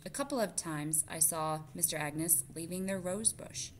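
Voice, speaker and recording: A woman reading the part of a quiet, thoughtful young boy mouse in a storybook, plain and reflective. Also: lowish voice, normal speed, slight background noise, close to an everyday voice.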